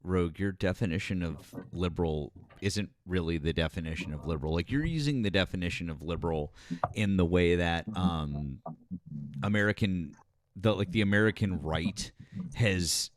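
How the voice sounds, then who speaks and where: A man's voice: sits low, moderate pace, no echo, clean studio sound. A man hosting a live political talk stream, blunt and casual. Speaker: host.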